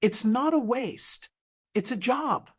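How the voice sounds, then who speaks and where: A woman's voice: on the high side, expressive, slow pace, husky, pitched above the performer's own voice, exaggerated voice. A woman voicing a proud but hesitant young granddaughter in an audiobook drama, careful and guarded. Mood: defensive.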